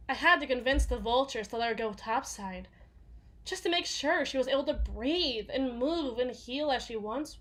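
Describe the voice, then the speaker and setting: A fairly high-voiced, foul-mouthed female bodyguard to villains, acted out by a woman, speaking very slowly. She is gruff and sardonic but haunted, confiding haltingly in an intimate ASMR roleplay monologue. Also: poor audio. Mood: haunted sorrow.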